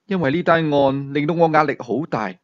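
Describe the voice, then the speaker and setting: A man's voice: fairly high pitch, fairly quick, dull audio. A man with a Hong Kong Cantonese accent narrating a thriller story dramatically as an audiobook storyteller.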